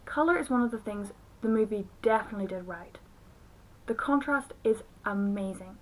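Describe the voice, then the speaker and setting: A woman delivers scripted film review commentary. Her voice sits fairly high as she talks through her points as a reviewer. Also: low-quality recording. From outside the United States.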